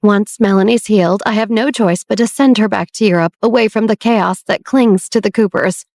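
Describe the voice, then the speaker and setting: A woman's voice: mid-range voice, normal speed, a little mellow, no room sound, clean studio sound, close to an everyday voice. A woman voicing a guarded older sister who speaks in a firm, clipped, resolute way. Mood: cold, resolute.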